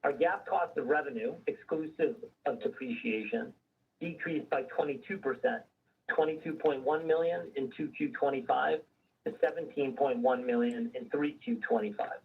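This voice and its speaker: A man's voice: on the high side, moderate pace, creaky, slightly rough, recorded from a distance, narrow, tinny audio. A man formally reading a prepared financial results presentation on a conference call, as a company executive. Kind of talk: scripted earnings call presentation.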